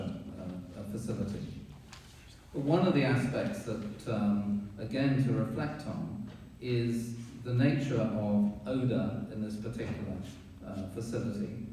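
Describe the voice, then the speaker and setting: A man speaks up at a town hearing, pointed and accusatory, pausing on fillers as he lists his charges. His voice has a dark, mellow timbre. It is picked up from afar in a very echoey hall with faint hiss. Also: mid-range voice, recorded from a distance. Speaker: resident giving public comment. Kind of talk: public comment at a town board hearing. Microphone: room mic from a distance.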